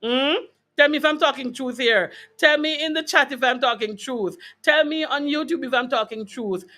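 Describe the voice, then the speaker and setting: A woman's voice: sits high, moderate pace, sharp tone. Jamaican woman giving an informal health talk. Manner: conversational and emphatic, checks in with listeners using short tag questions. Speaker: host of a wellness broadcast. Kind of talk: informal motivational health talk to a live online audience.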